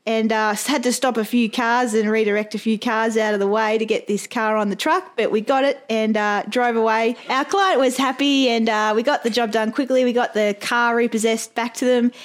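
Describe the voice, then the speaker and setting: A woman's voice: on the high side, fairly even intonation, moderate pace, few pauses. A woman with an Australian accent, casually telling work anecdotes as a podcast host. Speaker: podcast host, private investigator.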